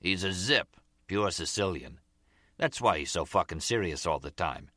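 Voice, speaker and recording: Man doing a deep, bored, gruff mob boss. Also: animated, moderate pace, bright, forceful tone, dry recording, clean studio sound, heavily acted. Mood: gruff, offhand. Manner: terse, indifferent, blunt tough-guy talk.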